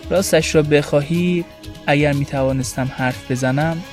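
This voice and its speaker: A man's voice: on the high side, steady tone, lightly acted. A man narrating an audio story in the first person as a sardonic, feisty young woman, in wry, colloquial storytelling. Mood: reflective. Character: young Tehrani woman, sardonic, feisty, recounting her days. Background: light music bed.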